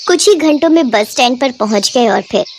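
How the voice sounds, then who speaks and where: A woman with a high voice narrates a scripted moral tale as a storyteller. There is clear noise in the background of the recording. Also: lively intonation, a little fast, a little mellow.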